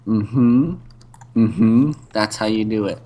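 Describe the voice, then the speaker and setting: A man's voice: on the low side, slow, mellow. A young man chatting casually while playing a game, joking and laughing often. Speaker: co-host gamer. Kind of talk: casual gaming chat.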